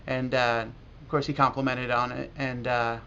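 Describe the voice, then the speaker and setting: An American man talking casually and reflectively in a video blog as a language learner, halting now and then with pauses. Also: medium pitch, little pitch movement, bright, forceful tone. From United States. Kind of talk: casual talk to camera about learning. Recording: dull lo-fi audio with clear noise.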